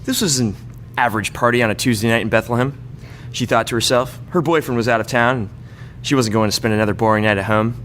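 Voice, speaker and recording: A man's voice: mid-range voice, quick pace, fairly bright tone, slight background noise. A man doing a live reading of a bawdy comic story, stumbling over words and breaking into laughter now and then. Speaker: comedy troupe member reading own story.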